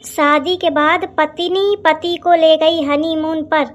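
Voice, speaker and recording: बहुत ऊँची आवाज़ में कथा सुनाती महिला. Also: female voice, extremely high pitch, fairly even intonation, takes it slowly, lo-fi sound. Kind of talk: scripted comic narration, mock warning.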